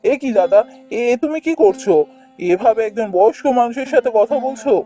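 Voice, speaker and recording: A woman's voice: a little high, expressive, fairly quick, warm tone, lightly acted. Woman acting a kind younger brother, earnest, firm, defiant spirit, cartoon folktale delivery, faint music underneath. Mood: indignant. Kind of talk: Bengali fairy-tale cartoon voice acting.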